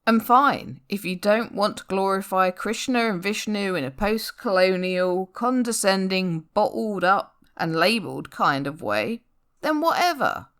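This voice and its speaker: A woman performing a comic spoken-word poem in a sardonic, theatrical and emphatic manner, with deliberate pauses. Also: medium pitch, lively intonation, a bit bright, smooth. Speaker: poetry reader.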